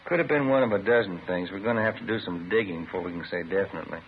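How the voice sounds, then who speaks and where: An actor playing a steady, methodical police detective in a 1950s radio drama, matter-of-fact and understated. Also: male voice, sits low, very fast. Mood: businesslike. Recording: muffled, noisy old radio recording.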